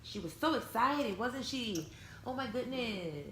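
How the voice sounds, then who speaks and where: A woman reading a storybook aloud to young children, expressively, pausing to retell and explain it in simple words. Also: a little high, lively intonation. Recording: echoey room with some background noise.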